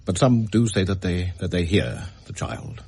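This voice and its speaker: A hesitant house agent in a radio mystery drama. His voice is very deep and husky, recorded dry. He speaks gravely and haltingly, measuring out each grim detail. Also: darkish tone, dry recording. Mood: awkward, evasive.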